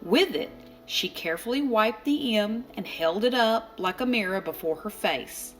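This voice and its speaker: A woman narrating a children's storybook aloud, expressively and deliberately, with dramatic pauses. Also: mid-range voice, animated. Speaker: storybook reader. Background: music.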